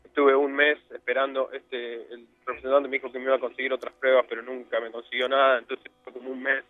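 A man's voice: medium pitch, flat delivery, fast, dry recording, narrow, tinny audio. A young man from Argentina with an Argentine Spanish accent, telling his story as a guest in a casual interview, rambling with many fillers. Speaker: guest footballer.

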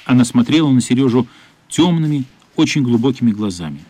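A man narrating a literary radio play in a measured, somber, dramatic style. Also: medium pitch, animated, mellow. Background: faint music.

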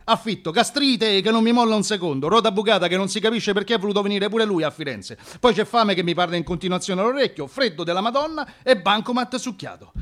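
A man's voice: high-pitched, a bit bright. A man telling a comic first-person story for radio in a theatrical, colloquial way. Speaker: actor-narrator.

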